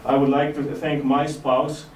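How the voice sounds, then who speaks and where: A man with a Slovenian accent giving a formal reception speech as ambassador, halting with frequent "uh" pauses. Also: medium pitch, little pitch movement. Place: large hotel reception hall. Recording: echoey hall from far off with room noise.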